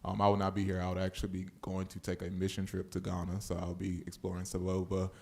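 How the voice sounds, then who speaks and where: A young man gives an informal report to a board. He talks quickly in a low voice with plenty of vocal fry, and his pitch stays rather level. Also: monotone, a little mellow. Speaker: board member giving a report. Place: public board meeting room.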